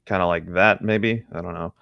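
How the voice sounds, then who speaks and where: A man's voice: low voice, fairly even intonation, moderate pace, vocal fry, no echo, muffled recording. A man co-hosting a casual chat, speaking somewhat hesitantly as he thinks aloud. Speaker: podcast co-host.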